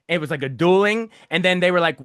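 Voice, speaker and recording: A man's voice: sits high, fast, fairly bright tone, clean tone, no echo, studio quality. A man around forty hosting a casual interview, chatty and eager, with an enthusiastic manner. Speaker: interviewer, host.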